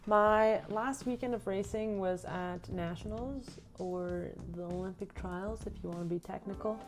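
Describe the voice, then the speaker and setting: A woman's voice: mid-range voice, unhurried, faint music behind. A young woman from Canada, a runner, chatting casually in a vlog and reading out her own race notes. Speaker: runner recapping her racing season.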